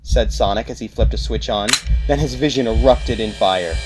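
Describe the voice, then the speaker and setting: A man's voice: fairly low pitch, moderate pace, heavy background noise. A man narrating an audiobook in a scripted, dramatic storytelling style.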